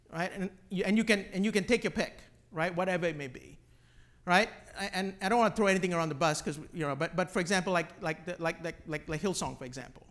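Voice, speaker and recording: High-voiced man from Malaysia, teaching informally. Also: a bit bright. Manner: halting, often repeats words and tags phrases with "right?". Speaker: church class teacher. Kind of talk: informal teaching with questions from the group.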